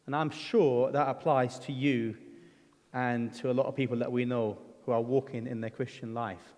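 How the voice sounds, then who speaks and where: A man's voice: medium pitch, fairly even intonation, moderate pace, a little mellow. A middle-aged man from Lebanon preaching a sermon, telling his own story in an earnest, conversational way with frequent ums and restarts. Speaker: guest preacher. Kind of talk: sermon with personal testimony. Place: church hall. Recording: church hall reverb.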